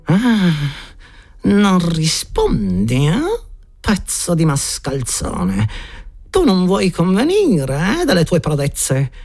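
A woman's voice: lowish voice, wildly swooping pitch, slow, darkish tone, slightly rough, soft background music, voice pushed up, full character voice. A man voicing a spiteful, scolding headmistress in an audiobook reading, with a venomous, sneering manner. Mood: furious. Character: spiteful female boarding-school headmistress.

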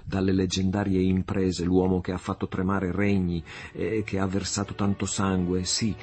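Un uomo che interpreta una giovane nobildonna appassionata. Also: male voice, deep voice, completely flat delivery, normal speed, lightly acted. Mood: impassioned. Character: passionate young noblewoman, lovestruck and fierce.

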